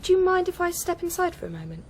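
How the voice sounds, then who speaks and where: A woman's voice: very high-pitched, very animated, fast, a little hiss or hum. An actress playing a polite, probing policewoman in a radio drama, formal and questioning.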